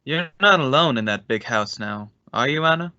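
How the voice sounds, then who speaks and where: Actor as a quietly menacing madman, lo-fi. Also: medium pitch, expressive, moderate pace, no echo. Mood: guarded, courteous. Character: unhinged escaped madman, quietly menacing old acquaintance. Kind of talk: old-time radio suspense acting. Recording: slightly dull, lo-fi sound.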